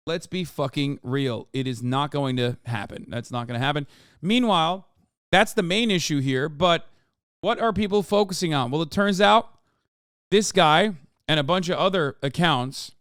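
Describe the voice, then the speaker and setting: Sarcastic, profane male streamer, crisp voice. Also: a little high, dry recording, clean studio sound. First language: Turkish. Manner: profane, sarcastic, rapid rants. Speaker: streamer host. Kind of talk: casual live-stream news commentary.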